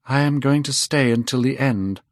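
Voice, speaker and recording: A man's voice: mid-range voice, moderate pace, no room sound, studio quality, voice pushed up, close to an everyday voice. A man raising his voice for a proud young man. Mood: cold. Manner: cold and cutting, then bitter.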